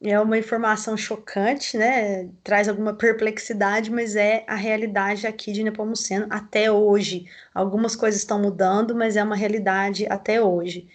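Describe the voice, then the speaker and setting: A woman with a Mineiro accent answering interview questions over a video call, explaining things in a measured way with frequent tag questions. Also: mid-range voice, level tone. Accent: Mineiro Brazilian Portuguese. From southern Minas Gerais, Brazil. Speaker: coffee growers' association representative.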